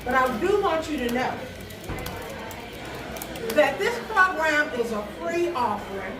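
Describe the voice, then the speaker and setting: A woman's voice: sits high, lively intonation, a little slow, music in the background. A woman emceeing a storytelling night, chatty and teasing as she talks to the audience. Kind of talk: joking live emcee patter. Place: bar venue with a live audience. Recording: roomy echo from a distant mic with loud crowd.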